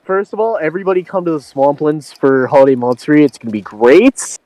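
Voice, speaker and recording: A man's voice: a little high, lively intonation, brisk, a little mellow, poor audio. A young American man from Florida bantering casually with friends on a game stream, slangy and profane, repeating phrases for emphasis. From Florida, United States. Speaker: game streamer in a group call. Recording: music behind the voice. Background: music.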